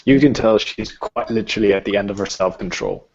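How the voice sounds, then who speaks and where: A man's voice: on the low side, a little fast, lo-fi sound. A man acting as game master, narrating a tabletop roleplaying game.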